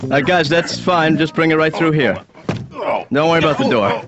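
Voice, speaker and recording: A man playing a boyish, excitable slacker son in an animated sitcom, rambling casually with mock grandeur. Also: on the high side, moderate pace, over music, lightly acted. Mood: flustered. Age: young adult. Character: slacker grown son, boyish, smugly excited about gadgets. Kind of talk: improvised animated sitcom dialogue. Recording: muffled cartoon audio, background hiss.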